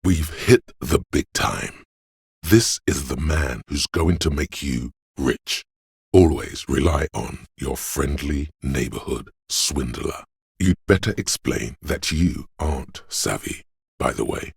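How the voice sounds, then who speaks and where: A man voicing a slick, suave inner voice that narrates a game in the second person, measured and wryly theatrical. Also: no echo, clean studio sound, exaggerated voice. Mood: sly, goading. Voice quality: very deep, husky.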